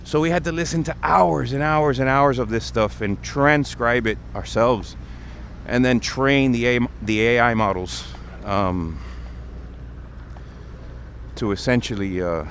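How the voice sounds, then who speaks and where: A man's voice: mid-range voice, measured pace, fairly bright tone. A man with a mid-range voice talks casually to his livestream audience, explaining things as he goes. He is outdoors on a city street, with faint traffic and urban noise behind him. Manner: explanatory, conversational. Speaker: livestream host. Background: traffic and urban ambience.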